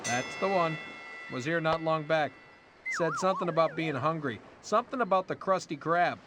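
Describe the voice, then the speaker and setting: A man's voice: fairly high pitch, lively intonation, normal speed, lightly acted. A man voicing an obliging but sly ice-cream vendor in a cartoon game, with a clipped, knowing delivery. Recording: clearly noisy background.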